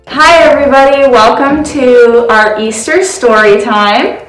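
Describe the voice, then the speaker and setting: A woman reads children's stories aloud in a slightly high voice. She is recorded in an echoey space, a little way from the microphone. She presents as a volunteer story time reader. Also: unhurried. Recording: roomy echo, distant mic, music behind.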